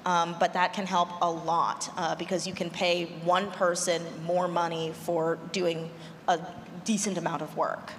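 A woman on a panel giving practical advice in a halting, considered way, recorded in an echoey hall. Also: lowish voice, little pitch movement. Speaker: panelist, audio drama producer. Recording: echoey noisy hall with room ambience.

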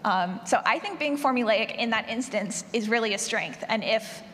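A woman's voice: a little high, expressive, moderate pace, fairly bright tone. Female podcast creator on a panel, raised register, informal delivery, noisy live recording. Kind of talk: casual panel talk. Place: large room at a convention panel. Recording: echoey hall, clear background noise.

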